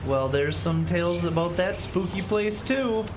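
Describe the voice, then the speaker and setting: An earnest young Midwestern husband played by a man, easygoing yet determined. His pitch moves in a lively way as he talks conversationally in a radio drama, with faint noise behind him. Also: a little slow. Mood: teasing.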